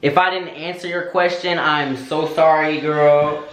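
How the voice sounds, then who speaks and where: A man's voice: on the high side, steady tone, unhurried, fairly bright tone. A young man chatting candidly to camera, sassy and slangy, answering viewer questions. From United States. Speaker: vlogger. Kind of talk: casual Q&A chat to camera. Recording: echoey room.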